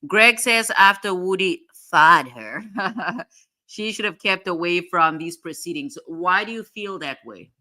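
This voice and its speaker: A woman's voice: lowish voice, animated, unhurried, pushed and bright. A woman hosting a casual live chat, drifting distractedly between reading viewer comments and replying to them. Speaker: livestream host.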